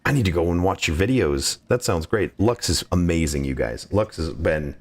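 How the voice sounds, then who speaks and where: Male streamer, low voice, casual game commentary, effusive and goofy, often repeats phrases. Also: expressive, normal speed. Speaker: livestreamer playing a game and greeting chat.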